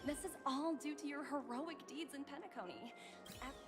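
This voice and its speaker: A woman's voice: high voice, normal speed, big-room sound, over music, lightly acted. An actress playing a poised, confident young businesswoman in a sci-fi game, with polished, official delivery. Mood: appreciative. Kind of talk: game voice acting.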